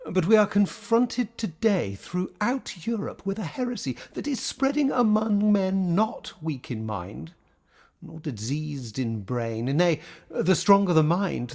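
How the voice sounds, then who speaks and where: A man's voice: on the high side, a little mellow, full character voice. Man playing a haughty bishop, swooping delivery. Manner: formal, declamatory. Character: stern, learned male medieval bishop, haughty and forceful. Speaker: actor. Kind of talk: radio play acting.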